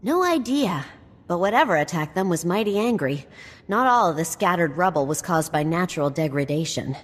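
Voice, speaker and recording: Wry scholarly explorer in cavernous reverb. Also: female voice, fairly low pitch, expressive, moderate pace. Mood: analytical. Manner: bookish, wry, explanatory. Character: wry, nerdy female explorer-scholar, quick with dark jokes. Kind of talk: scripted video game dialogue. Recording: long hall reverb, game music behind. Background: game music.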